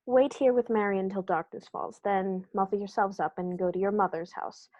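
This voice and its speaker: Woman playing a brisk, commanding gentleman detective. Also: medium pitch, steady tone, highs cut off, a little hiss or hum, close to an everyday voice. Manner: brisk, authoritative, formal. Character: shrewd, commanding male Victorian detective. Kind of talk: 1940s radio play acting.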